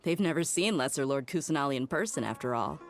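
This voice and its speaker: An actress playing a bold, confident desert mercenary leader in a video game, casual and assertive. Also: female voice, deep voice, expressive, fast, over music. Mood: confident, eager. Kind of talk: scripted game dialogue.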